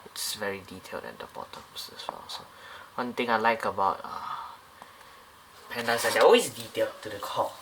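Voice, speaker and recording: A man's voice: fairly low pitch, normal speed, noisy background. Chatty man reviewing a model plane. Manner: rambling, many fillers and restarts. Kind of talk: casual product review.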